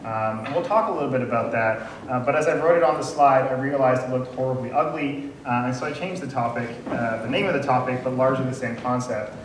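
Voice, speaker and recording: A man's voice: medium pitch, fast talker, hardly any pauses. Chatty man speaking in an echoey hall. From Canada. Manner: friendly, chatty, many ums and uhs, asks the audience questions. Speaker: conference speaker. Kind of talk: casual conference talk. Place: large dim conference room. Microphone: room mic from far off. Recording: echoey room audio from far off, noisy.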